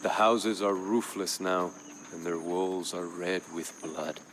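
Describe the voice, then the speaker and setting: Somber proud aztec emperor, real low voice, grave formal storytelling for a strategy game, music under it. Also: male voice, little pitch movement, slow pace, fairly bright tone, slight background noise. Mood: mournful, weary. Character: proud, somber Aztec emperor recounting his people's war. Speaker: in-game campaign narrator. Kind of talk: solemn scripted narration in character.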